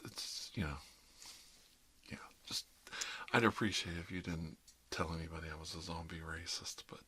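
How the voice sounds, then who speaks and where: Man playing a friendly ASMR dentist, deep voice, crisp bright edge, hesitant rambling, half-whispered asides, conversational roleplay. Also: heavily acted. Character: chatty, friendly emergency dentist treating monsters, mildly bigoted. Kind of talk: ASMR roleplay, improvised one-sided conversation.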